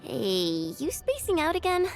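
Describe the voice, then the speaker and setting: Casting: impulsive young female fighter for a game, high, very lively intonation, teasing and exclaiming. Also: a little slow, vocal fry, faint music behind, exaggerated voice. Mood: exasperated. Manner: expressive, exclaiming, informal. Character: hot-headed, teasing young woman warrior, impulsive and good-hearted. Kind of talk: video game voice acting.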